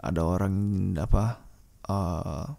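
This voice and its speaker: A man talks through a loose solo podcast monologue. His voice sits low, with a heavy creak and a mellow tone. He speaks informally and saltily, hesitating as he thinks aloud. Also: slow pace. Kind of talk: casual rambling monologue.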